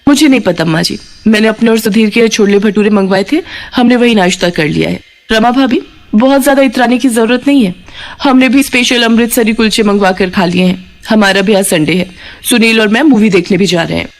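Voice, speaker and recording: A woman's voice: a little high, a little fast, natural voice. A woman voicing a proud young working daughter-in-law who argues indignantly in a cartoon family drama. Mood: haughty. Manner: indignant, childishly argumentative.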